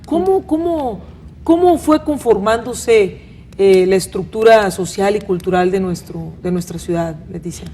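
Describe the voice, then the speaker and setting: A woman's voice: medium pitch, a little slow, dark tone, a little hiss or hum. A woman with a northern Mexican accent hosting a conversational panel interview, talking in a rambling, questioning way with frequent tag questions. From Monterrey, northern Mexico. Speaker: talk show host.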